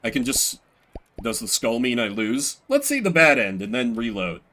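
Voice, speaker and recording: Bright, animated man streaming a game. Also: medium pitch, normal speed. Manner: animated, profane, sarcastic. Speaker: streamer playing and voicing a game solo. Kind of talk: casual gameplay commentary.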